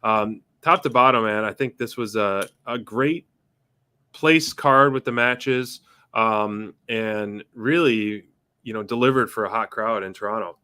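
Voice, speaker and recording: A man's voice: lowish voice, a little slow, pushed and bright, smooth, no echo. A man co-hosting a casual recap podcast, talking in a laid-back, agreeable way with many fillers. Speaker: podcast co-host.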